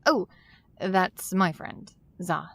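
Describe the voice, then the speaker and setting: A woman's voice: on the low side, drawn-out words, vocal fry, a bit bright, slightly rough, no echo, full character voice. A woman playing a polite, hesitant young woman in an audio drama, speaking tentatively. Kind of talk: full-cast audio drama acting.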